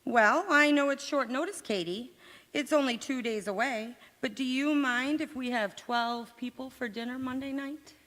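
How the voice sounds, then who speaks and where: A woman's voice: high voice, normal speed, fairly bright tone. A woman playing a feisty, sarcastic housewife in 1940s radio comedy style, with dry, wisecracking retorts. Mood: flustered. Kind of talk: 1940s radio comedy acting, staged revival. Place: community theatre stage in a church. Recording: echoey hall, long reverb.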